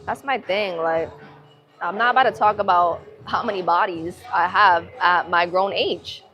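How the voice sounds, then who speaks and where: A young American woman with a light Puerto Rican accent, chatting casually on a livestream in an expressive, slangy, opinionated way. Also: fairly low pitch, normal speed, muffled recording. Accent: Puerto Rican-tinged American. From Massachusetts, USA. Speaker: live streamer. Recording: music playing behind.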